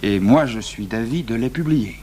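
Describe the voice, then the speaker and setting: A man's voice: mid-range voice, lively intonation, normal speed, mellow, close to an everyday voice. A man acting a sceptical, exacting magistrate in his thirties in a French radio crime drama, dry and formal. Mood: insistent, stern. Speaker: actor playing an examining magistrate. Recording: noisy 1980s radio recording with hum.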